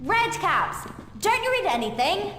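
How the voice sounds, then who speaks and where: Very high-pitched bossy schoolgirl witch. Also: sing-song, exaggerated voice. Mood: exasperated. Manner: brisk, scolding, know-it-all. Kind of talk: video game voice acting. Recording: echoey, faint music behind.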